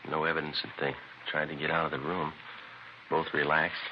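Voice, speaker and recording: A man's voice: very deep voice, flat delivery, fairly quick. A man playing a terse, businesslike police detective in a 1950s radio crime drama, clipped and matter-of-fact. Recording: noisy, dull vintage radio recording.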